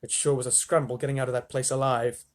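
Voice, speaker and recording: A man's voice: medium pitch, level tone, fast, natural voice. A man voicing an earnest male astronaut in a children's story, reporting in a plain-spoken, folksy way. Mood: shaken, relieved.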